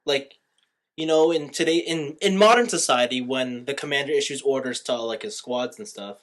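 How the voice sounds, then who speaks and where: A man's voice: medium pitch, moderate pace. Informal man explaining on a podcast. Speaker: podcast host. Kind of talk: casual movie review chat.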